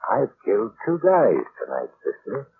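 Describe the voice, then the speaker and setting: An actor playing a swaggering, sadistic killer in an old-time radio crime drama, talking in menacing tough gangster slang. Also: male voice, mid-range voice, huge pitch swings, moderate pace. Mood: gloating, menacing. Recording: tinny, noisy old radio recording.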